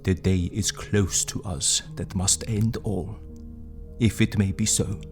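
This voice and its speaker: An actor playing Van Helsing, a grave, tender older foreign professor, with solemn, halting phrasing in an audio drama. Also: male voice, low-pitched, steady tone, vocal fry, a little mellow, soft background music, full character voice. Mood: tender, urgent.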